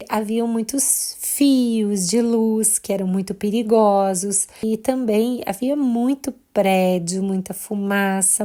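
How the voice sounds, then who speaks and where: A woman with a Brazilian accent narrating a children's bedtime story, expressive and unhurried, talking directly to her young listeners. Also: medium pitch. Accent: Brazilian Portuguese.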